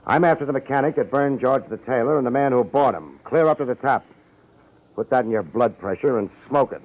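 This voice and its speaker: A man's voice: mid-range voice, fairly quick, lightly acted. A man playing a wry, tough private detective in an old-time radio drama, with sardonic, slangy delivery. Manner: sardonic, slangy, hard-boiled. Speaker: private investigator narrator. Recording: muffled vintage radio recording with music.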